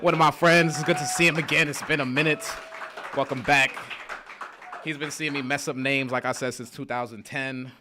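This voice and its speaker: A man hosting an open mic night on a stage mic, chatting casually with quick, apologetic asides. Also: medium pitch, fairly even intonation, background noise. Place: small performance venue, indoors.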